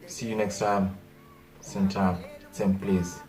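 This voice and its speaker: Animated Zimbabwean man ranting, distant mic. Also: low-pitched, slow. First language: Shona. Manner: animated, exasperated, repeats himself. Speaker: vlogger. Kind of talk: casual comedic vlog rant.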